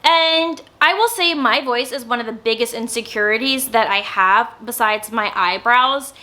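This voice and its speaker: A young woman in a casual sit-down vlog, talking loudly and openly, with some stammering and lots of filler words. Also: on the high side, moderate pace. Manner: naturally loud, with stammers and many filler words. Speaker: YouTuber. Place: indoors, sit-down filming setup.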